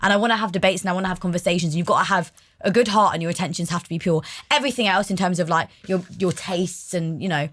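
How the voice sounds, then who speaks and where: A woman, a podcast guest in casual chat, talking fast and with strong opinions. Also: on the low side, no room sound.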